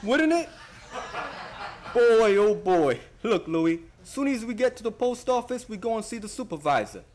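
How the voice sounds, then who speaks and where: A man's voice: sits high, sing-song, moderate pace, a little mellow, slight background noise. A man acting a chummy, eager, slangy working-class postman in a radio play. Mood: eager scheming. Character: excitable working-class male postman with a scheme.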